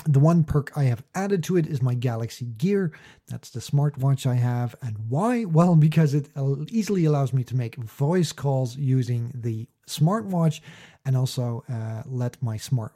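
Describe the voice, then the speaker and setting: A man's voice: mid-range voice, animated, a little slow, mellow. A man hosting a casual explainer monologue about his own experience, conversational and emphatic with wry asides. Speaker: host, small business owner.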